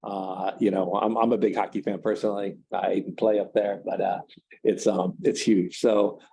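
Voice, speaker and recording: A man giving a seminar talk on trade, speaking haltingly with many fillers and restarts. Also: fairly low pitch, flat delivery, gravelly, warm tone. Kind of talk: seminar talk, answering questions.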